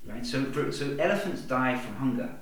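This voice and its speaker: A man's voice: medium pitch, lively intonation, moderate pace, distant mic. A man from Kenya chatting as a podcast guest, telling stories in a laid-back way. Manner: laid-back, anecdotal, says "yeah" a lot. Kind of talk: casual chat, storytelling. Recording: echoey room, off-mic.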